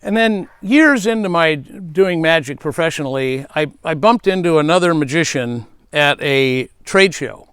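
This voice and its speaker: A man's voice: on the high side, animated, unhurried, hardly any pauses, a bit bright, clear, resonant tone, dry recording. Earnest man giving safety speaking tips. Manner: earnest, advising, storytelling. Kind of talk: speaking tip to camera.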